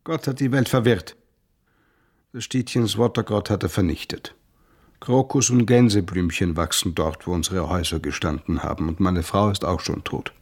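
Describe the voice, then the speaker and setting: An actor playing a humble, devout old coachman in a radio drama, telling things in a plaintive, emphatic way with a husky voice. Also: low voice, steady tone, moderate pace. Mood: sorrowful.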